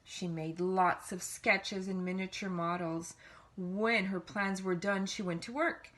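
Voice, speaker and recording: A woman reads a picture book aloud as a storyteller for young listeners. Her delivery is animated, with dramatic emphasis on key words, at a medium pitch. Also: unhurried, slight background noise. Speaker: children's storybook reader. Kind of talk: expressive read-aloud storytelling.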